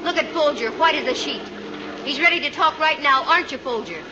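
Female private eye played by an actress, American, shrewd and dry, higher-pitched, 1950 radio detective style. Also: close to an everyday voice. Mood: accusing. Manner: dry, wry, brisk. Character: shrewd, wry, gutsy female private investigator. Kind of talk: 1950s radio detective acting. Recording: muffled, noisy 1950 radio recording.

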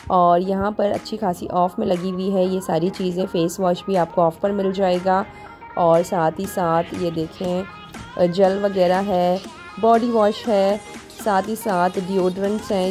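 A woman vlogger walks the aisles, pitching deals in a chatty, upbeat way. Her voice is mellow and warm, and faint music plays under her phone recording. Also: fairly even intonation, a little slow. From Pakistan. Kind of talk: grocery store walkthrough narration.

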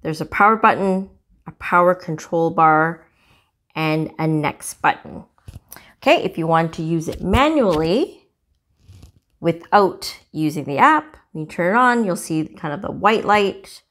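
A woman hosting a cooking channel, casually demonstrating a gadget in her home kitchen, chatty and relaxed with small fillers. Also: fairly low pitch, measured pace. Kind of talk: casual product demo.

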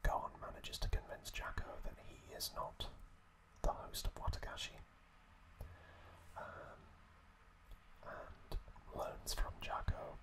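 Whispering man, slow ASMR delivery. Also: poor audio. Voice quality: breathy unvoiced whisper. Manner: slow, hushed, halting with long pauses. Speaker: ASMR host. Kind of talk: whispered ASMR episode recap.

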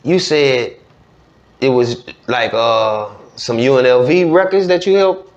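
Casting: male podcast host, average pitch, colloquial interviewer, slightly dull audio. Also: slow pace. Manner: colloquial, frequent affirming interjections. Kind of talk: casual interview chat. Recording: slightly dull studio sound.

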